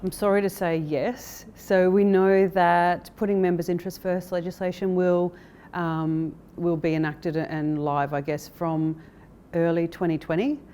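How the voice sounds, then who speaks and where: A woman speaking as a company director in a corporate interview, explaining things in a measured way. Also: on the low side, level tone, dark tone, smooth. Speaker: interviewee, managing director of an investment firm.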